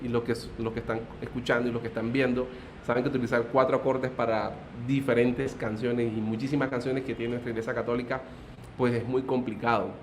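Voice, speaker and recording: A man's voice: medium pitch, flat delivery, a little fast, a little hiss or hum. Man with a Colombian coastal accent. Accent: Colombian Caribbean coast. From Barranquilla, Colombia. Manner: hesitant, many "eh" fillers, good-humored. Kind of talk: testimony in interview.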